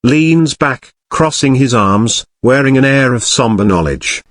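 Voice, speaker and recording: A man's voice: mid-range voice, expressive, a little slow, fairly bright tone, no room sound, clean studio sound. A man reading scripted narration for an audio drama.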